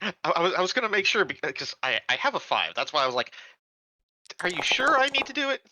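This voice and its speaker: A man's voice: high voice, very animated, fast talker, sharp tone. A man playing a tabletop roleplaying game, chatting casually as he works through his options.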